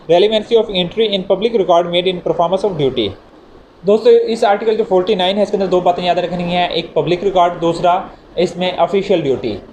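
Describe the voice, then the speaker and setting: A man giving a legal lecture. He reads English legal clauses slowly, then explains them casually with everyday examples. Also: on the high side, darkish tone, poor audio. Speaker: law lecturer. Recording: audible background noise.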